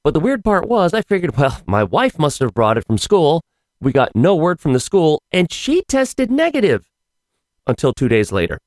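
Hoarse man rambling through a podcast. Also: a little high, huge pitch swings, normal speed, darkish tone, no echo. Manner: rambling, wry asides. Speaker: podcast host. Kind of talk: casual solo podcast chat.

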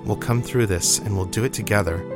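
A man's voice: fairly low pitch, fairly quick, very echoey, in a large hall, over music, natural voice. Man reading as Daniel, an earnest young student. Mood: tender, reassuring. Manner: earnest, pleading, reassuring. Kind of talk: audiobook character dialogue.

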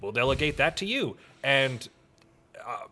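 A male podcast co-host speaks conversationally. His voice is of ordinary pitch, and he talks in an informal, unscripted way. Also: big pitch swings, measured pace, bright, forceful tone. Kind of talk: casual conversation.

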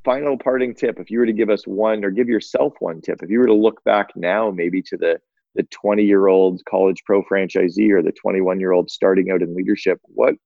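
A man in his fifties hosting a podcast interview, upbeat and talkative, quick to agree with his guest. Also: low voice, fairly even intonation, vocal fry, dry recording, muffled recording. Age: 50s. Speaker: podcast host. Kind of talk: casual interview chat.

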